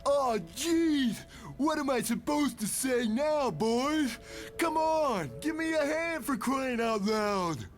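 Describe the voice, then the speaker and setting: A woman voicing a flustered, coy online sweetheart in a motion comic, with an animated manner. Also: sits high, slow, faint music behind.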